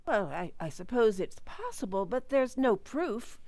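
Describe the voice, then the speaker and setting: Woman acting brisk, animated, skeptical doctor. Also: a little high, dry recording. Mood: skeptical, probing. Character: brisk, skeptical doctor soothing an agitated patient. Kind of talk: amateur radio play acting.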